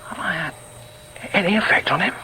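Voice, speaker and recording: A man's voice: high voice, brisk, sharp tone, slight background noise, rough recording. An actor playing a troubled, self-doubting middle-aged father in a radio drama, with a hesitant, stammering Northern English delivery. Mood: troubled, evasive. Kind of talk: radio drama with muttered inner monologue.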